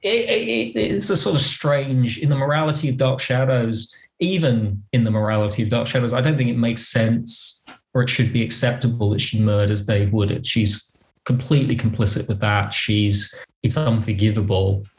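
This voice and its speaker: Thoughtful, halting man chatting as a guest. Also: medium pitch, vocal fry. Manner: thoughtful, halting, rephrases as he goes. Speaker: podcast guest. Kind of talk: casual interview chat.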